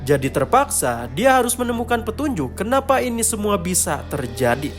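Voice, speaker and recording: A male narrator with a high-pitched voice tells a scripted horror story. Music plays clearly behind the narration. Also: big pitch swings, a little fast. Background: clear background music.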